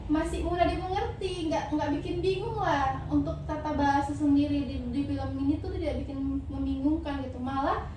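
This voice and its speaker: A woman's voice: high voice, normal speed. A young woman, chatting casually on a student panel and rambling with fillers. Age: young adult. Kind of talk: casual discussion. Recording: echoey room, distant mic, noisy.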